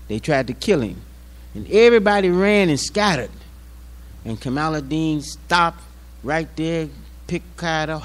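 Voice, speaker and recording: A man's voice: medium pitch, a little mellow. Older American man giving a public address. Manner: plainspoken, folksy storytelling with acted-out quotes. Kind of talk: unscripted public speech with personal anecdotes.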